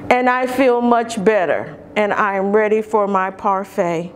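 A middle-aged woman reads a picture book aloud to young children, expressively and deliberately, drawing out key words, recorded indoors from a camera. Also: mid-range voice, a little hiss or hum. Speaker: storytime reader for young children. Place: large carpeted indoor lobby or lounge.

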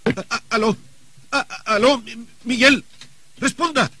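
An actor playing an indignant, flustered, blustering gentleman with a husky voice in a radio drama. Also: very high voice, unhurried, heavily acted. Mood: frightened, stammering. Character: indignant, flustered murdered gentleman protesting his death. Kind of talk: old radio drama acting.

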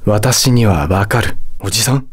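A man's voice: on the low side, a little slow, breathy edge. A man voicing a haughty, hot-tempered, lovestruck demon lord in a video game, with a theatrical, condescending delivery. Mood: annoyed, haughty. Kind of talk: Japanese video game character voice acting.

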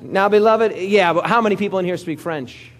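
A man's voice: high-pitched, fairly quick. A man preaching a sermon as pastor, talking with the congregation, asking questions and joking with them. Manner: lively, interactive preaching with audience questions and jokes. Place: church hall before a congregation.

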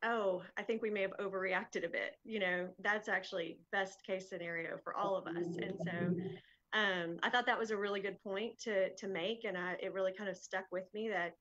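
A woman's voice: mid-range voice, steady tone, moderate pace, a bit bright, highs cut off. A woman interviewing two doctors in a friendly, chatty way, laughing easily between questions. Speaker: chamber of commerce interviewer. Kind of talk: casual video interview.

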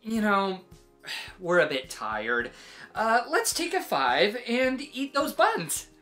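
A woman's voice: mid-range voice, wildly swooping pitch, takes it slowly, a bit bright, faint music behind, exaggerated voice. A woman voicing a chatty, meddling sheep with a hesitant, wheedling manner in a children's read-aloud. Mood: coaxing. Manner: hesitant, wheedling, full of suggestions. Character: chatty, meddling, nervously cheerful storybook sheep. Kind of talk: animated children's picture-book read-aloud.

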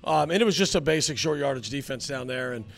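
A middle-aged man, a head football coach, answering postgame questions in a halting way with many fillers, into a handheld mic indoors. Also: mid-range voice, gravelly. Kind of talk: postgame interview. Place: indoor locker room area. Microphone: handheld mic held by interviewer.

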